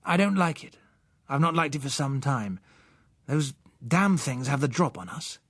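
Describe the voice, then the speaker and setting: Agitated, emphatic man, as acted by a narrator, animated. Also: medium pitch, normal speed, dry recording, studio quality. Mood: wary. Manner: emphatic, insistent. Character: agitated, suspicious man arguing his fears. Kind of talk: audiobook character acting.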